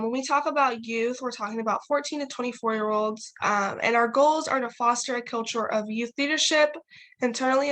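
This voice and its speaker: A woman's voice: on the high side, fairly bright tone, low-quality recording. Young woman chairing an online meeting. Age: young adult. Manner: chatty, frequent fillers. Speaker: youth working group chair. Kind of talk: casual online meeting talk. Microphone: video call.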